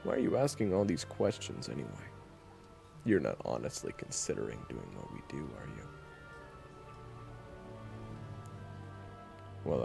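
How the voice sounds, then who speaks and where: A man playing a gruff, jaded vampire hunter in an audio roleplay, blunt and sternly protective as he gives terse orders. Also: rumbling low, exaggerated voice. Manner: blunt, terse, commanding, cursing. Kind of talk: audio roleplay acting addressed to the listener.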